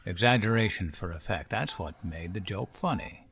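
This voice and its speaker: An actor in an audio drama playing a nostalgic old grandfather, gently and wistfully telling his memories to his granddaughter. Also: low voice, animated, normal speed, gravelly, full character voice. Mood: patient, explaining.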